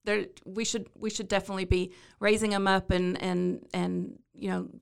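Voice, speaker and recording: A woman's voice: mid-range voice, flat delivery, normal speed, gravelly, clear, resonant tone. A woman from England, a chamber of commerce staffer, chatting as a guest in a friendly, self-deprecating way with many fillers and restarts. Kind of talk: casual interview chat.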